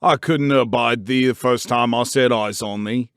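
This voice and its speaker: A man's voice: mid-range voice, fairly even intonation, moderate pace, fairly bright tone, clean tone, no room sound, studio quality, close to an everyday voice. A man doing an irate old Yorkshire gardener. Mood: indignant. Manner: ranting, harsh dialect scolding. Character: grumpy old male gardener, scolding.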